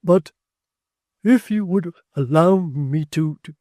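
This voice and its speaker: A man voicing a bashful, flustered young gentleman who stammers, in a storybook reading. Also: on the high side, slow, dry recording, studio quality, voice pushed up.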